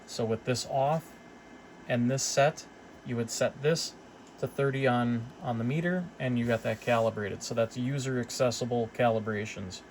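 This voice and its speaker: A man with an average-pitched voice gives an informal, hands-on demonstration as a hobbyist repairer. He talks in a halting, think-out-loud way, unscripted and explanatory. A clear, steady background noise runs under his voice. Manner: halting, thinking aloud as he goes.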